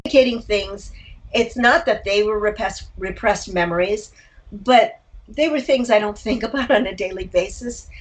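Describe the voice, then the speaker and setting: An older woman talking reflectively as an interview guest, a writer and actor who tells personal stories haltingly, with candid asides. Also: medium pitch, low-quality recording.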